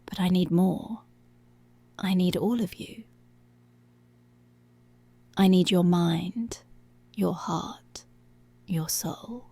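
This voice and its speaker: A woman playing a commanding, seductive policewoman in an intimate ASMR roleplay, speaking slowly and deliberately in a breathy voice that often drops to a whisper. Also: lowish voice, mellow, no room sound, full character voice. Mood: controlling, possessive. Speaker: ASMR voice actor.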